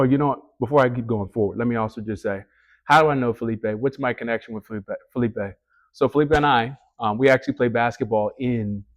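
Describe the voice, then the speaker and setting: A man's voice: mid-range voice, dull audio. A man in his thirties hosting a casual interview podcast, chatty and enthusiastic. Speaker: podcast host.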